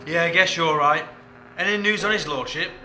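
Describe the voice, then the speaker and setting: A man's voice: fairly high pitch, fairly quick, noisy background, close to an everyday voice. A man playing a flirtatious, wheedling British servant in a comic 1940s radio play, with colloquial speech. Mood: agreeable, coaxing. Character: cheeky, amorous wartime chauffeur-servant, coaxing and wheedling.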